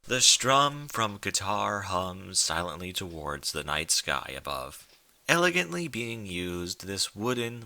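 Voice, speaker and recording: A man narrates stories with theatrical, deliberate delivery. His voice sits a little low yet is strikingly bright and forceful, with lively swings of pitch. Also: no echo. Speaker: story reader. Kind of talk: dramatic scripted narration of fan fiction.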